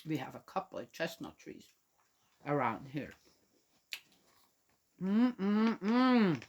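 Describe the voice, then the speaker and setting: A woman from Switzerland chatting gently to young children and reading them a picture book aloud. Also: lowish voice, big pitch swings, slow pace, muffled recording, slight background noise. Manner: gentle, chatty, talks directly to young listeners. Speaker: children's storyteller.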